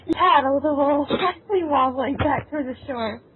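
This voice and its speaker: A high-pitched woman reads a story aloud as the narrator of an amateur fan fiction. The sound is thin and narrow, like a phone call. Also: moderate pace.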